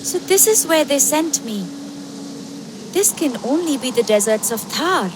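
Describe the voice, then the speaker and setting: A woman's voice: sits high, animated, background noise. A woman voicing a determined young game heroine who muses to herself in wonder. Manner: slow, musing to herself. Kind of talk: video game character acting.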